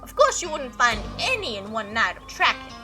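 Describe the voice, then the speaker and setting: A woman's voice: a little high, big pitch swings, normal speed, over music, voice pushed down. A woman voicing a stubborn old male country farmer with a put-on Southern accent and a folksy, scolding manner. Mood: exasperated, insistent.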